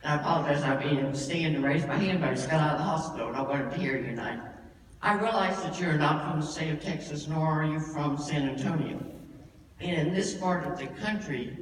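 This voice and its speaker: Soft-spoken man in an echoing hall. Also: fairly high pitch, slight background noise. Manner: soft-spoken, apologetic, long winding question. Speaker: audience member asking a question. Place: church hall. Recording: echoey hall from far off.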